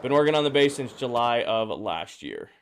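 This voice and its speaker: A man streaming a game, his voice slightly hoarse and drowsy, casually greeting viewers and answering chat questions. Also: medium pitch, sing-song, moderate pace, a little hiss or hum. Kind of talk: casual live-stream chat while playing.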